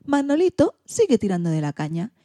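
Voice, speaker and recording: A woman reading a children's storybook in an expressive, theatrical way. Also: medium pitch, a little fast, no echo, studio quality. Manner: theatrical, acts out sound effects and exclamations.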